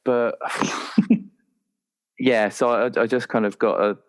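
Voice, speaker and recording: A man chatting casually and haltingly as a player working out a roleplaying character, often restarting his thoughts. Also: mid-range voice, fairly even intonation. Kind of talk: casual, improvised character discussion.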